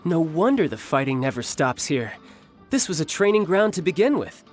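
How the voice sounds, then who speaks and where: A man's voice: fairly high pitch, sing-song, normal speed, darkish tone, faint music behind. A man voicing a confident, easygoing young warrior in an anime game, breezy and casual. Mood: thoughtful. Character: cocky, easygoing young male warrior who enjoys battle.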